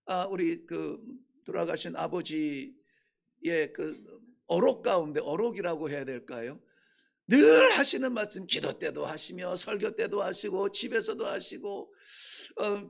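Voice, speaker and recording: A man preaching a Sunday sermon as pastor, impassioned, swinging between shouted peaks and hushed lines. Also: high-pitched, creaky.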